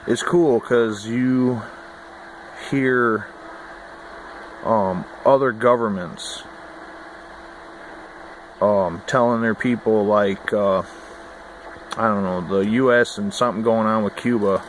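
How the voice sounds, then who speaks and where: American guy w low voice just rambling casually bout his hobby, folksy n laid back, lots of noise behind him. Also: steady tone, slow pace, long pauses. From United States. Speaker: hobbyist vlogger. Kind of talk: casual rambling chat to camera. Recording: noisy amateur camera audio.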